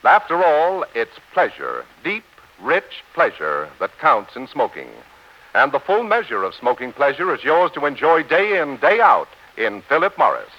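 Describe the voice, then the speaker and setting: Animated male 1940s radio announcer. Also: fairly high pitch, normal speed. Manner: emphatic, declamatory. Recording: muffled 1940s radio broadcast.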